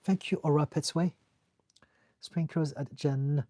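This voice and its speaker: A man's voice: on the high side, fairly even intonation, a little slow, dark tone, no room sound. A man with a French accent, a therapist answering viewers' questions on a live stream in an earnest, direct way, sometimes repeating words as he searches for them. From France. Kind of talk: live-stream Q&A, casual advice.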